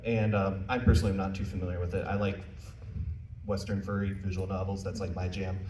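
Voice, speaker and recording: A man's voice: sits low, little pitch movement, fast, mellow. American male panel presenter, informal and jokey; echoey room recording with faint background noise. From United States. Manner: casual, self-deprecating, jokey asides. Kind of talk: informal presentation to a live audience. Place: indoor convention panel room. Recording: roomy, distant mic, faint noise.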